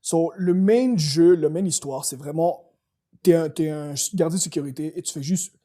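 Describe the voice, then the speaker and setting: A young man with a Quebec French accent, co-hosting a casual chat and excitedly explaining things, mixing English slang into his French. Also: fairly high pitch, normal speed. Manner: animated storyteller who mixes English slang into French. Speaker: podcast co-host.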